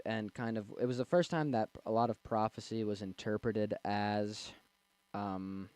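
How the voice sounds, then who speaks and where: A man in his twenties with a young, slightly squeaky voice, casually rambling and answering chat on a livestream. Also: darkish tone. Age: 20s. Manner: rambling, with fillers and restarts. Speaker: streamer reading chat. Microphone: desk mic.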